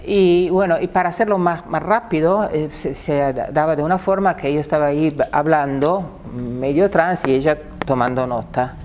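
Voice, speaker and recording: Woman in her 60s, italian accent, low-ish voice, halting public talk, faint noise behind. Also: darkish tone. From Italy. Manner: halting, repeats words. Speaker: speaker.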